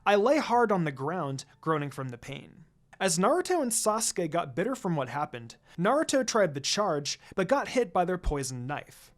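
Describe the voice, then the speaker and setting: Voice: mid-range voice, big pitch swings, normal speed. A narrator reading a scripted first-person story aloud, acting out bits of dialogue along the way. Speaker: fan fiction narrator.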